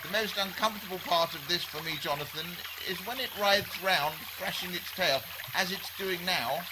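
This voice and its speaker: An actor playing a stoical explorer who speaks formally and with understatement in a comic radio documentary. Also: male voice, high voice, moderate pace, very noisy, close to an everyday voice. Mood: pained, understated. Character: Peter, absurdly polite, stoical male jungle explorer. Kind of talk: mock radio documentary acting. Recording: very loud jungle and running water.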